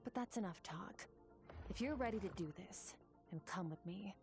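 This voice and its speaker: A woman voicing an aloof, composed young warrior mentor in an anime game, speaking in a cool, measured, matter-of-fact way. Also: mid-range voice, animated, music in the background, lightly acted. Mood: detached, firm.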